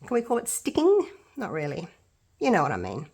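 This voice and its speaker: A woman's voice: lowish voice, huge pitch swings, fairly quick, darkish tone. Chatty Australian woman with a blocked nose. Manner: chatty, self-deprecating, thinking aloud while working. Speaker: resin art tutor. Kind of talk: casual craft tutorial.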